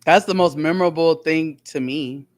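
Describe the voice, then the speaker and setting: A woman's voice: deep voice, normal speed, dry recording. A woman in her forties co-hosting a casual podcast chat, who laughs often and reads out viewer comments. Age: 40s. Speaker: podcast co-host.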